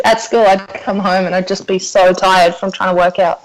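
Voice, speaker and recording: A woman's voice: medium pitch, steady tone, moderate pace, low-quality recording. A young woman with an Australian accent, originally from South Africa, chatting casually as a podcast guest and laughing often. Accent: Australian with South African roots. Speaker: personal trainer, podcast guest. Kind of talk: casual interview chat. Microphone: remote call.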